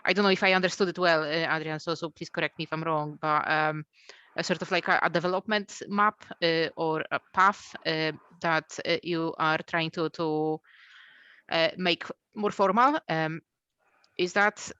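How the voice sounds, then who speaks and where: A woman organising a discussion on a video call, halting and full of fillers. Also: sits low, fairly even intonation, bright, forceful tone, smooth, no echo. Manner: halting, many fillers and restarts. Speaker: event organiser. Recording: dull lo-fi call audio.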